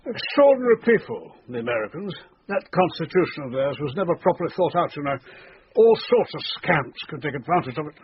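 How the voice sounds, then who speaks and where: Fussy posh english police boss, raspy, swoopy voice, dithers, muffled radio. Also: male voice, fairly high pitch, sing-song, brisk, exaggerated voice. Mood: pompous, cautious. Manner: fussy, hesitant, pompous. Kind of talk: radio drama acting. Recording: dull lo-fi radio recording.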